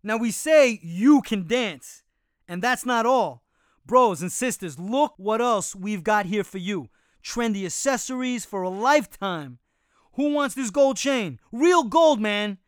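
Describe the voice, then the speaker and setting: A man's voice: high-pitched, lively intonation, measured pace, smooth, dry recording, studio quality, pitched above the performer's own voice. A man voicing a boastful male chimpanzee gang leader who talks in slangy street patter. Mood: hyped. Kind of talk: audiobook character voice.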